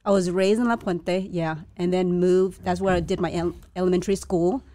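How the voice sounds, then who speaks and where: A woman's voice: on the low side, fairly even intonation, moderate pace, dark tone, dry recording. A young woman born to a Chinese family in Vietnam, speaking as an interview guest in a hesitant, reflective way with many restarts. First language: a Chinese dialect. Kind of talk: casual interview.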